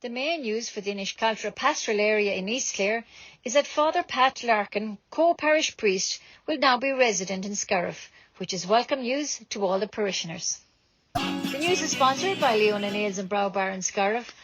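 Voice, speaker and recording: A woman's voice: medium pitch, normal speed, a bit bright, dry recording, poor audio. An Irish woman reading scripted local news as a community radio presenter.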